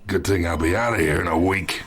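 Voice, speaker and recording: An actor playing an ageing, wounded, sly mob boss in a video game, with a gravelly voice and a taunting, falsely chummy drawl. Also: male voice, deep voice, a little fast, a bit bright. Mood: smug. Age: older. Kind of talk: dramatic video game acting.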